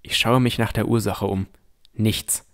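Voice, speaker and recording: A man's voice: on the low side, fairly even intonation, measured pace. A man reading scripted first-person narration as the narrator of a fantasy audio drama.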